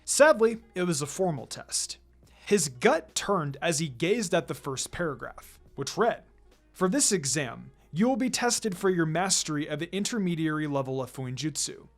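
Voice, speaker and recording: A narrator reading a story aloud in an expressive, dramatic audiobook style. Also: mid-range voice, moderate pace. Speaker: fan fiction reader, narrator. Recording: music behind the voice. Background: background music.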